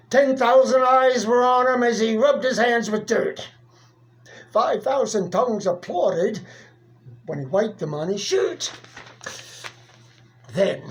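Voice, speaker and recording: Slow, theatrical poetry reader. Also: mid-range voice. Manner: theatrical, deliberate, with dramatic pauses. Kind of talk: dramatic poetry recitation.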